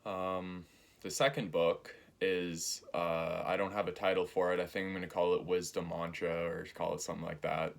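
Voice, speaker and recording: A man in his early twenties giving a spiritual talk, slow and halting, with many pauses and fillers. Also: deep voice, creaky. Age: 20s. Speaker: spiritual teacher.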